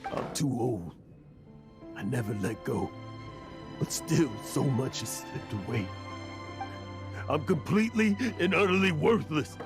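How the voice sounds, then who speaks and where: An actor playing a weary, guilt-ridden old male adventurer in a video game, with an emotional, halting manner. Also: medium pitch, lively intonation. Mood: despairing. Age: older. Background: game music.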